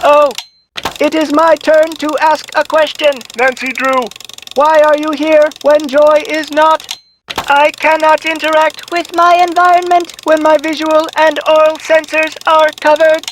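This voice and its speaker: A woman's voice: high voice, little pitch movement, a little slow, slight background noise. A woman voicing a literal-minded, officious memory robot in a video game, speaking in formal, stilted phrases. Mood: robotic, formal. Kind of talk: video game character voice lines.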